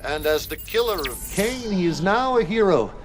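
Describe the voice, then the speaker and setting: An actor playing a messianic, calculating leader of a militant brotherhood in a video game, speaking in a grandiose, deliberate, commanding way. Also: male voice, sits high, very animated, soft background music. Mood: calm menace. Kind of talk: dramatic video game cutscene acting.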